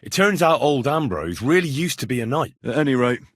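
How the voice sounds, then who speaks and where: Young British medieval game hero: husky, down-to-earth, earnest servant lad, very dry sound. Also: male voice, medium pitch, sing-song, fairly quick, no echo. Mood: frank, down-to-earth. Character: plain-spoken young male medieval servant and adventurer. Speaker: video game voice actor. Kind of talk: scripted game character dialogue.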